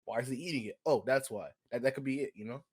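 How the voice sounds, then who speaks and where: A young American man co-hosting a podcast in casual, slangy group chat, telling things in an animated storytelling way. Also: mid-range voice, quick pace, slightly rough. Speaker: podcast co-host.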